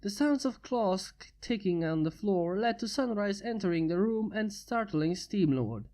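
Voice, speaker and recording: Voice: medium pitch, animated, moderate pace, warm tone. A reader steadily narrating a fanfiction story. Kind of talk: fanfiction reading.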